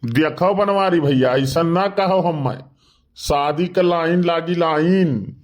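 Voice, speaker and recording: A man's voice: fairly high pitch, slow pace, a little hiss or hum. A man voicing a boastful, argumentative village neighbour in a cartoon comedy, protesting in an Awadhi accent. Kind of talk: animated comedy dialogue.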